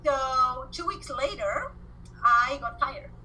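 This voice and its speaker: A woman in her forties from Argentina with an Argentine accent, a guest on a podcast interview, telling stories in an animated way and repeating words as she goes. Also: high-pitched, slow pace, slight background noise, poor audio. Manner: animated storyteller, repeats words when excited. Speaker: guest entrepreneur.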